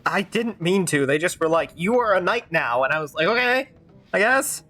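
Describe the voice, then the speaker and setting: A woman's voice: lowish voice, lively intonation, normal speed, fairly bright tone, a little hiss or hum. A woman game streamer reacting casually, with wry, chatty asides.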